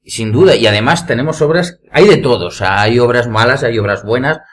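A man's voice: mid-range voice, brisk. A man with an accent from Spain, taking part in a casual podcast conversation as a panelist. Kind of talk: casual chat.

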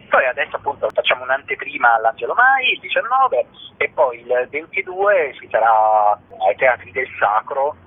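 A man, a performer being interviewed on radio, talking spontaneously and often correcting himself as he searches for words. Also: medium pitch. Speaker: interview guest, singer and theatre performer. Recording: noisy narrow telephone line.

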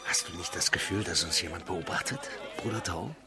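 A deep, blunt soldier amid crowd bustle. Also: male voice, huge pitch swings, a little fast, over music, heavily acted. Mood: wary, dry. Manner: blunt, brash. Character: bluff, hard-drinking military officer, ex-boxer, in imperial China. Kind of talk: radio drama acting.

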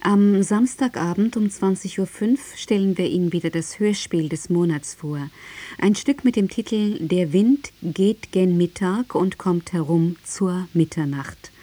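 Slow, mellow woman radio announcer. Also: on the low side. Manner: measured, carefully enunciated. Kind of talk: formal scripted radio announcement.

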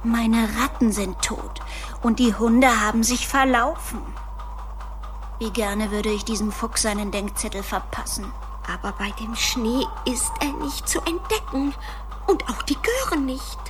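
A woman's voice: a little high, measured pace, noisy background, lightly acted. A woman playing a vengeful, fuming villainess in a German radio play. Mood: fuming, frustrated.

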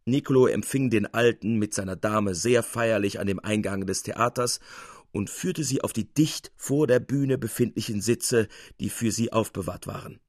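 A man narrating a literary tale in an expressive, measured storytelling manner. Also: fairly low pitch, no echo, studio quality. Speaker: audiobook narrator.

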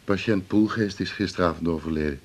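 An actor playing a matter-of-fact male hospital colleague in a radio drama, brisk and factual. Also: low-pitched.

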